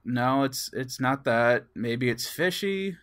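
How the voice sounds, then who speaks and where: A man's voice: medium pitch, lively intonation, slow pace, fairly bright tone. A man co-hosting a podcast, telling stories in casual gaming chat, chuckling and acting out what people said. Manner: chuckles through stories, acts out quoted dialogue. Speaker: podcast co-host.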